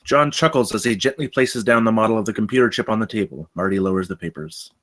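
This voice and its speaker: A man's voice: fairly low pitch, quick pace, fairly bright tone. A man reading stage directions as scripted narration at a film table read.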